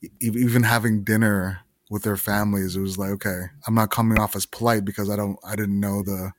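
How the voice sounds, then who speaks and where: A man from Canada, a guest on a podcast, telling his story in a relaxed way with many fillers and restarts. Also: on the low side, a little fast, no room sound, clean studio sound. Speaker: guest, video director. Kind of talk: casual interview chat.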